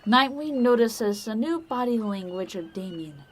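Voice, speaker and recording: Expressive woman narrator. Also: fairly high pitch, a little slow. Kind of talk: scripted audio drama narration.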